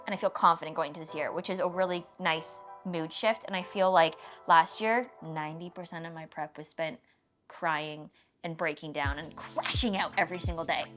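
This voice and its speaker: A woman's voice: sits low, steady tone, normal speed, a bit bright. A woman of 29 with a Canadian accent, chatting casually and informally to followers with emphatic, self-mocking asides. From Toronto area, Canada. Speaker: fitness content creator and vlogger. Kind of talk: casual rapid-fire Q&A chat to followers. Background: background music.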